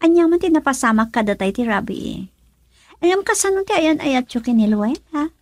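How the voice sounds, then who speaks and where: A woman's voice: high-pitched, animated, a little fast, darkish tone, dry recording, clean studio sound. An actress playing a greedy, scheming young woman in an Ilocano radio drama, taunting and theatrical, with an Ilocano accent. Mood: taunting, defiant.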